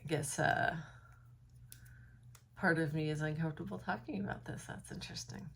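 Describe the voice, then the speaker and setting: A woman's voice: low voice, fairly even intonation, moderate pace, creaky, a bit bright, slight background noise. A young woman talking alone to her phone camera in a room at home, thoughtful and hesitant, with many ums and likes and a slightly froggy voice. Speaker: vlogger. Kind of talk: unscripted reflective talk to camera.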